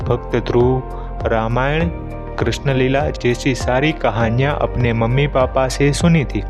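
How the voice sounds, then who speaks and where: Male narrator for animated children's tales, medium pitch, scripted telling over clear background music.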